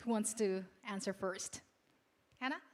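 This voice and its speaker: A woman's voice: mid-range voice, unhurried, darkish tone. A woman hosting a live ceremony talk show, with a polished, upbeat emcee delivery. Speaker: event host and talk show moderator.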